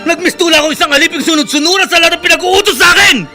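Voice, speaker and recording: A proud, hot-headed promoted janitor in a radio drama. The voice sits high and sounds sharp and forceful, emphatic and defiant, with music underneath. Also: male voice, rapid-fire, full character voice. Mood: anguished. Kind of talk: Tagalog radio drama acting.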